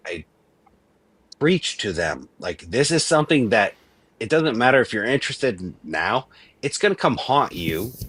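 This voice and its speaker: A man's voice: fairly low pitch, a bit bright. A man in his late thirties, from Venezuela, talking earnestly and emphatically in a casual debate, often restarting his sentences. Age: 30s.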